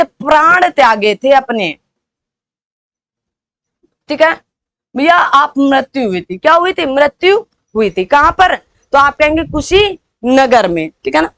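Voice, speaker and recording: A woman teaching an exam-preparation lesson, repeating points and prompting listeners with quick rhetorical questions. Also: sits high, expressive, fairly bright tone, dry recording.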